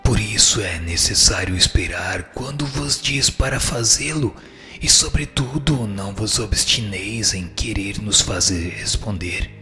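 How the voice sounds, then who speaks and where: A man acts out a wise, firm spirit in a radio drama, with a Brazilian accent. The voice is fairly low and forcefully bright. The delivery is formal and didactic, over soft music. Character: instructive male spirit, wise, firm and solemn. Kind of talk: scripted dialogue, formal reading.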